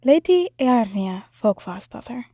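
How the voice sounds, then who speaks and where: High, mellow, sly enchantress villain, played by a woman. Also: very animated, a little slow, no echo, clean studio sound, heavily acted. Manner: mocking, smooth, theatrical. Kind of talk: fan audio drama acting.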